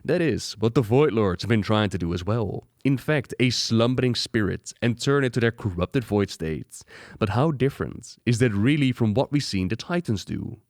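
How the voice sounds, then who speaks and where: A fairly deep male voice, recorded dry and close. He narrates as a video host, in a scripted explainer style. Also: expressive, moderate pace, a little mellow, no room sound.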